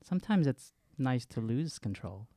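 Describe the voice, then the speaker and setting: A man's voice: mid-range voice, very animated, normal speed, mellow, no room sound, clean studio sound. A man playing a tabletop role-playing game, improvising his character's dialogue in a casual, conversational way.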